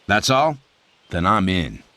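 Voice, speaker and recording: An actor playing a cocky, sardonic American air force captain in a video game, with an American accent and a dry delivery. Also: male voice, sits low, very animated, takes it slowly, bright, forceful tone, no echo, heavily acted. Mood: determined. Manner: dry, wisecracking. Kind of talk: video game cutscene acting.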